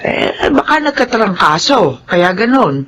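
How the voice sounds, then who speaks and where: A woman acting a brisk, scolding but concerned older aunt in a radio drama. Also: mid-range voice, very animated, fairly bright tone. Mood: chiding. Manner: brisk, chiding. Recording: faint background noise.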